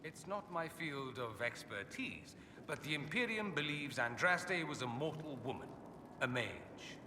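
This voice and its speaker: Haughty witty fantasy mage for a game, arch and sardonic, really swoopy delivery, big echoey room w/ noise behind. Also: male voice, on the high side, expressive, unhurried, recorded from a distance. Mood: sardonic, amused. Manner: suave, sardonic, theatrically arch. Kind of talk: video game character dialogue. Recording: echoey hall, clear background ambience noise.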